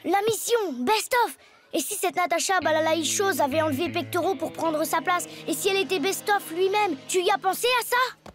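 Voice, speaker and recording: A very high-voiced, earnest schoolboy. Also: female voice, normal speed, over music. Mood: suspicious. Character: earnest, excitable schoolboy who is a secret agent. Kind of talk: animated cartoon voice acting.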